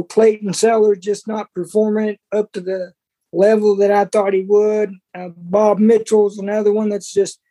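A man's voice: high voice, dark tone, low-quality recording. A man co-hosting a sports podcast, giving casual commentary in a folksy, colloquial way. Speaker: podcast co-host.

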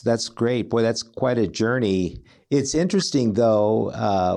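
A man's voice: fairly low pitch, creaky, warm tone. A man hosting a health interview as a physician, talking conversationally and often repeating words as he rephrases. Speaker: host, physician.

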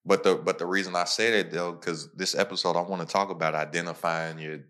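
A young man with a very low, deep voice hosts a laid-back podcast conversation. He tells stories in lively, slangy bursts, and now and then he speeds up. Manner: animated, slangy storytelling with quick bursts. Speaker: podcast host. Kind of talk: casual podcast chat.

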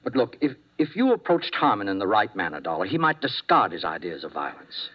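A man's voice: fairly low pitch, very animated, brisk, husky. A man playing an anxious, earnest doctor in a 1950s radio drama, hesitant, pleading and insistent. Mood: shaken, uneasy. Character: anxious, earnest male doctor urging caution. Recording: muffled old 1950s radio recording.

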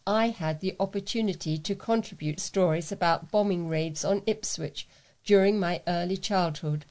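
A woman's voice: on the low side, moderate pace, darkish tone. A woman reading a newspaper letter aloud as a volunteer reader.

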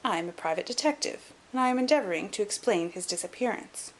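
A woman voicing a shrewd, composed gentleman detective in an audiobook, measured, articulate and dryly superior. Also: medium pitch, expressive, natural voice. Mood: composed, polite. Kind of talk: audiobook character acting.

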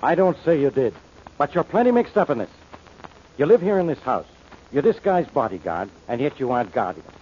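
An actor playing an exasperated, suspicious middle-aged police inspector in an old-time radio detective drama, blustering and barking orders. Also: male voice, medium pitch, big pitch swings, fairly quick, breathy edge. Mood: stern, threatening. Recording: muffled, noisy old radio broadcast recording.

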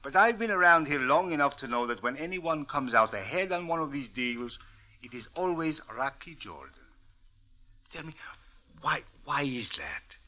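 Dogged police officer, extremely bright and tense voice, stiff formal phrasing, 1940s radio drama. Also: male voice, fairly high pitch, sing-song, moderate pace, heavily acted. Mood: probing, suspicious. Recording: old radio drama recording.

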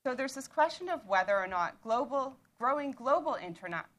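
A woman's voice: high-pitched, expressive, a bit bright. Canadian woman giving an explanatory talk. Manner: measured, explanatory, with occasional restarts. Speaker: author and panel speaker. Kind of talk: lecture-style talk.

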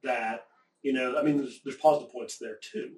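A man from Appalachia in the American South talks in loose, rambling conversation, profane and quick to get animated. His voice sits in a middle register. The room is echoey, and he sounds a little far from the mic. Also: mid-range voice. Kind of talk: casual conversation.

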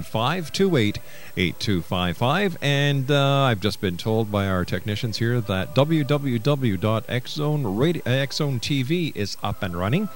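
A man hosting a live radio talk show, interviewing and announcing to listeners. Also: mid-range voice.

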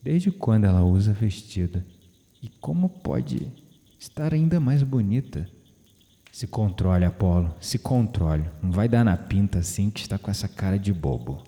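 Man acting smitten young Brazilian guy, low and mellow. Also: animated, moderate pace, no echo. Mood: smitten, nervous. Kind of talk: audio drama acting.

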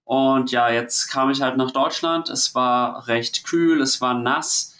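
A man from Germany talks through his travel diary in a loose, rambling way, and he has a cold and sounds worn out. His voice sits at an ordinary middle pitch. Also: slow pace. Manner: relaxed, rambling, chatty storytelling. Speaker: host of a travel diary podcast. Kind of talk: casual spoken travel diary.

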